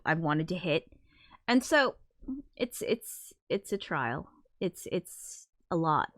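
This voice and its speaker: A woman's voice: lowish voice, fairly bright tone, dry recording. Sniffly woman streamer, dry close mic. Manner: chatty, self-deprecating, swearing, quick laughs. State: a little sick and sniffly. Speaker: livestream host. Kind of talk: casual livestream chat.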